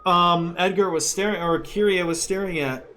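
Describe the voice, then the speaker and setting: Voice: mid-range voice, steady tone, normal speed, noisy background. A gamer giving casual, slangy, offhand reactions while commenting on their own playthrough.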